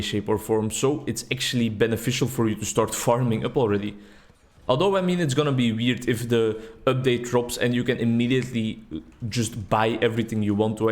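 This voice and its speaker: Rambling Dutch-speaking man commenting on a game. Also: medium pitch. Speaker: gaming video host. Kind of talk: casual gameplay commentary.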